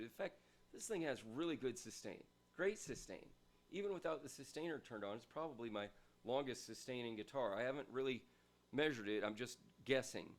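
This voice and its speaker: A man with a big, loud voice giving a rambling, emphatic guitar review from his home, swinging between loud bursts and quieter asides. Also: fairly low pitch. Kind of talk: casual ranting gear review.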